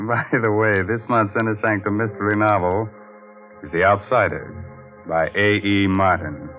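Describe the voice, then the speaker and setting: An actor playing a gleefully macabre 1940s radio horror host, droll and mock-sinister, on a muffled old recording. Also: male voice, on the low side, fairly even intonation, moderate pace, faint music behind, lightly acted. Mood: ghoulishly droll.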